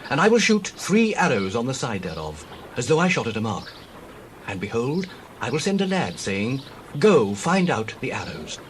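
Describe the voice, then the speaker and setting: Man acting an earnest, expressive loyal prince. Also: medium pitch, moderate pace, slight background noise. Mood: earnest, measured. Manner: solemn, emphatic, archaic oratory. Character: loyal, earnest prince, devoted sworn friend. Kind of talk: dramatized Bible audio acting.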